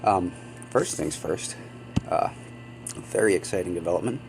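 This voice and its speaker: A man's voice: low voice, normal speed, gravelly, over music, low-quality recording. A man hosting a gaming channel, sniffly from a cold, chatting casually and rambling with many ums and apologies. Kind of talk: casual gameplay commentary.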